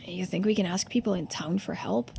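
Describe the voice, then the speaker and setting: A woman's voice: on the low side, fairly quick, gravelly, mellow, breathy edge, full character voice. A woman voicing an earnest, anxious young man, the protagonist of a video game, in a conversational, hesitant way. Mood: questioning. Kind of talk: video game dialogue read-aloud.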